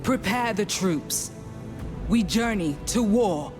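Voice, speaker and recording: A woman playing a stern, proud rebel leader in a fantasy game, deliberate and authoritative. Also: medium pitch, lively intonation. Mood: resolute. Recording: loud music behind.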